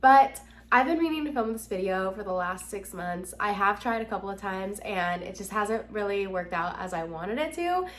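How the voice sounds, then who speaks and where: A woman's voice: mid-range voice, lively intonation, moderate pace, few pauses. A woman in her twenties with an American accent, chatting candidly and casually as a vlogger, with a nasal, slightly congested voice. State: slightly congested from being sick. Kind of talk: casual chat to camera.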